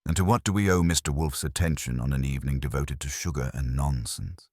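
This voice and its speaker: Suave, deep sardonic society gentleman voiced by a man. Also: fairly quick, no echo, studio quality. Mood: arch, amused. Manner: polished, arch, condescending.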